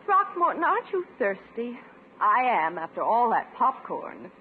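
A gushing, genteel date on vintage radio. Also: female voice, on the high side, huge pitch swings, moderate pace. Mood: sweetly coaxing. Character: refined, gushing, flirtatious lady out on a date. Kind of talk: 1950s radio sitcom acting. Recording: noisy, narrow-band 1950s radio broadcast.

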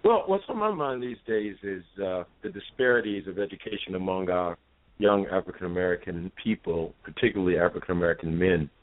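A man phoning in to a talk show as a caller, speaking formally and in measured, halting phrases, like an academic in earnest discussion. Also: low voice, expressive. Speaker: caller, university professor. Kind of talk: earnest live call-in discussion. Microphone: phone line. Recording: dull narrow-band phone call.

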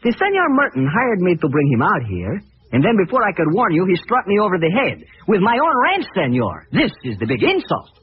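Animated high-voiced Mexican helper. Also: male voice, fairly quick. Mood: indignant. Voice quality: broken English phrasing. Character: eager, deferential Mexican helper man. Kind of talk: 1940s radio acting. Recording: tinny vintage radio broadcast.

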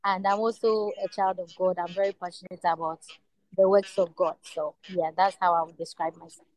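A woman's voice: on the low side, little pitch movement, fairly quick, a little mellow, dry recording, rough recording. A woman with a Ghanaian accent introducing herself conversationally as a panel guest. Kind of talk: personal self-introduction.

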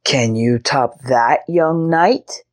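A woman's voice: rumbling low, takes it slowly, darkish tone. A woman voicing a mild, playful male dragon who talks in an easygoing, suggesting way.

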